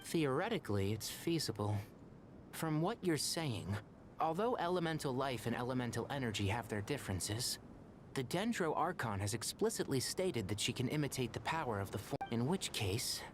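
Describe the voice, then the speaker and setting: An actor playing an earnest, articulate scholar who explains plans in an anime-style video game. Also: male voice, mid-range voice, big pitch swings, normal speed, background noise, lightly acted. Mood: resolute.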